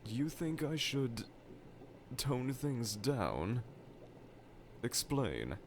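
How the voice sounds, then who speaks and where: A man's voice: mid-range voice, very animated, drawn-out words, a little hiss or hum, full character voice. A man voicing a vain, needy middle-aged evil scientist with pompous, formal, melodramatic diction in a fan audio roleplay. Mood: hesitant, pensive. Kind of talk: fan audio roleplay monologue addressed to listener. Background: laboratory ambience.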